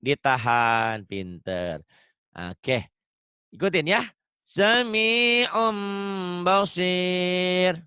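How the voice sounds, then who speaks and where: A man's voice: high voice, lively intonation, extremely slow, pushed and bright, clear, resonant tone, no room sound. A man teaching Quran recitation online, patiently drilling pronunciation and laughing often. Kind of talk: online tajwid lesson.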